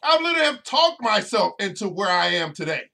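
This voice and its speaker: A man's voice: high voice, very animated, brisk, gravelly, pushed and bright. A man hosting a motivational podcast, preaching to his listeners directly and repeating phrases over and over for emphasis. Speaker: financial educator and podcast host.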